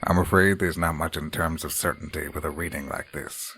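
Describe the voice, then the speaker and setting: A calm, low-voiced scientist. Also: male voice, breathy edge, exaggerated voice. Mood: measured. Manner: measured and polite. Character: calm, polite male scientist.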